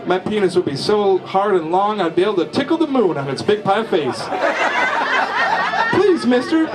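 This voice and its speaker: A man reading a comic first-person story aloud in a slow, folksy deadpan drawl at a live comedy show. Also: a little high, poor audio. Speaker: storyteller reading his father's short story.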